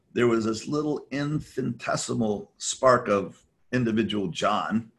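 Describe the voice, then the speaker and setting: A man's voice: mid-range voice, creaky, a bit bright. A man talking in a reflective, rambling way, with many fillers and restarts, as a podcast guest. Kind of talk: reflective conversational talk.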